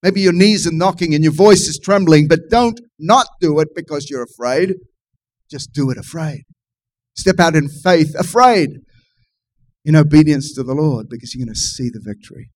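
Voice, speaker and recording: A man's voice: on the high side, normal speed. A man preaching a sermon to his congregation, pushing key phrases up with passionate, surging emphasis. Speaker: pastor.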